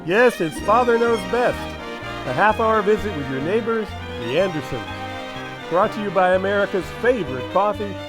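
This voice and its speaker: A man's voice: sits high, lively intonation, normal speed, over music. A man playing a grandly cheerful 1950s radio announcer narrating a sitcom. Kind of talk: old-time radio announcing.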